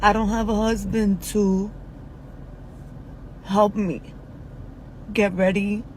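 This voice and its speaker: A woman in her forties speaking in a frank, halting personal video, with many fillers and pauses. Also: mid-range voice, little pitch movement, a little mellow, a little hiss or hum, poor audio. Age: 40s. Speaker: social media poster.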